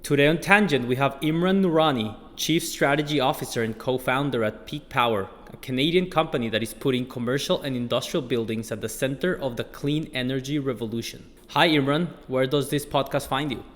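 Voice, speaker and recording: A man's voice: medium pitch, long reverb tail. A man hosting an interview podcast, asking long questions with frequent "uh" fillers. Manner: measured, many "uh" fillers when asking. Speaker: podcast host. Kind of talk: scripted intro then interview questions.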